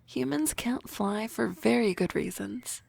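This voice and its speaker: A woman voicing an energetic young female harpy classmate in an ASMR roleplay, talking softly and often in a whisper with a teasing manner. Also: a little high, a little slow, gravelly, slightly rough, exaggerated voice. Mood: playful.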